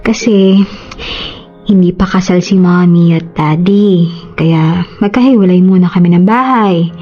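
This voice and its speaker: A woman's voice: fairly low pitch, measured pace, soft background music. A woman playing a tender, playful young mother in a radio drama, affectionate and coaxing, slipping in English phrases. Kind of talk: Tagalog radio drama acting. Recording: slightly dull audio.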